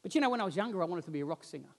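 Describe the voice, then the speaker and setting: A man, preaching a sermon on a church stage and telling stories with lively gestures while he walks about. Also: sits high, racing. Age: 40s. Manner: animated storytelling, roams the stage gesturing. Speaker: pastor. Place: church stage with band instruments, indoors.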